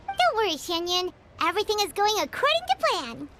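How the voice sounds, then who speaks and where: Squeaky, bubbly childlike game companion. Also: female voice, very animated, brisk, a bit bright, a little hiss or hum, full character voice. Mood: reassuring, confident. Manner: bubbly, informal, eager. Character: small cheerful childlike companion, female, eager and chatty. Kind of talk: video game voice acting.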